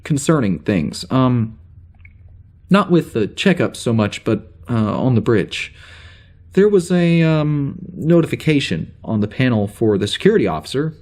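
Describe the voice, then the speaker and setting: A man playing a flustered security officer on a spaceship, recording an informal audio log in a halting, rambling way for an audio drama. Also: medium pitch, a little mellow. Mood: puzzled, uneasy. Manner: halting, rambling, full of hesitations and sighs.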